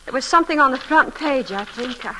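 An actress playing a guarded, resentful young niece and paid companion, cool and precise, in old-time radio drama style. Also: female voice, high-pitched, animated, a little fast, bright, forceful tone, a little hiss or hum, poor audio. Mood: meek, dutiful. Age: young adult. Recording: vintage radio broadcast recording.

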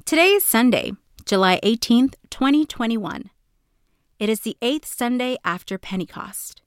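Woman, devotional podcast reader, crisp resonant voice, very little room sound, scripted delivery. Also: on the high side, expressive, moderate pace, no room sound, studio quality.

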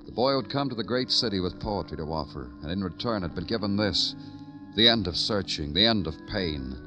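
An actor playing a weary, brooding police detective, narrating his beat in deliberate, poetic 1950s radio noir style. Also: deep voice, lively intonation, music in the background. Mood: mournful. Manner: deliberate, lyrical, somber.

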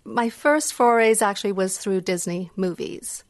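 A middle-aged woman who grew up with Indonesian and Dutch talks as an interview guest. She is gracious and reflective, with a mid-range pitch and a dry sound free of room echo. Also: unhurried. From Indonesia and Hong Kong. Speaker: guest, music psychology researcher. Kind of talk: conversational podcast interview. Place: remote video call from home. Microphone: video call.

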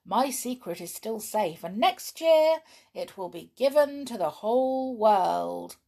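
A woman's voice: a little high, lively intonation, slow pace, creaky, mellow, pitched above the performer's own voice, heavily acted. A woman voicing an amiable, smugly triumphant middle-aged uncle, courteous and drily gloating, in a storybook reading.

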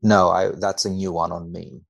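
A man speaking as a researcher guest on a podcast, dry and mock-serious in a measured delivery. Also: low voice, flat delivery, vocal fry. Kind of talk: podcast chat and reading aloud from a study.

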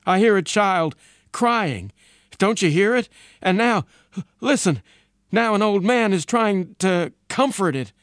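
A man's voice: high voice, expressive, takes it slowly, no echo, studio quality, voice pushed up. A man voicing an unsettled young astronaut in an audiobook story, hesitant and haunted. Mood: startled, insistent. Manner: hesitant, soft-spoken.

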